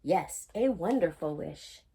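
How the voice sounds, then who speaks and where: Woman as a sweet mama mouse in a kids story, tender, soft husky mellow voice. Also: sits low, lively intonation, slow, voice pushed down, heavily acted. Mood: contented. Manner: tender, appreciative. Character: gentle, delighted mother mouse.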